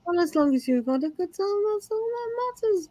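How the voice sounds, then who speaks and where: A woman's voice: extremely high pitch, animated, warm tone, no room sound. A woman, co-hosting a live stream of oracle card readings and chatting in a playful, teasing way. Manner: teasing, playful and quick to laugh. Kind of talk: casual chat on a live stream.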